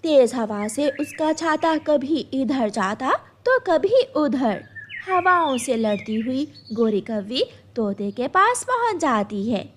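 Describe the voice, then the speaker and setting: Woman narrating a kids cartoon tale, unhurried, lots of up and down, noisy background. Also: high voice, big pitch swings. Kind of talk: scripted children's story narration.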